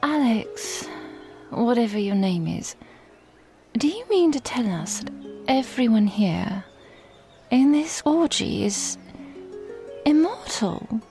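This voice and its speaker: A woman voicing a wary, nervous young woman in an audio drama, breathy and hesitant. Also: fairly high pitch, big pitch swings, takes it slowly, long pauses, warm tone, faint music behind, pitched above the performer's own voice, heavily acted. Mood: incredulous. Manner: hesitant, anxious questioning. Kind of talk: audio drama character acting.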